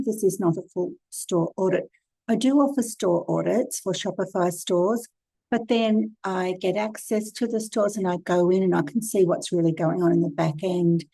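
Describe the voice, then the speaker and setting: A hoarse woman, hosting a casual screen-share tutorial and giving chatty, direct, practical advice. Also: lowish voice, warm tone, no room sound, rough recording. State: hoarse from a cold. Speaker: e-commerce consultant and channel host.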